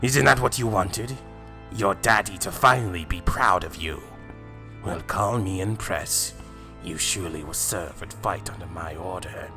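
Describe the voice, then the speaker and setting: A man's voice: sits low, exaggerated voice. A man playing a sinister, gloating villain who mocks and menaces in a fan-made anime drama, with music behind. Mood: mocking. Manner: mocking, slow, menacing, chuckling. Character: gloating sinister villain possessing a father, cruelly taunting. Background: loud music.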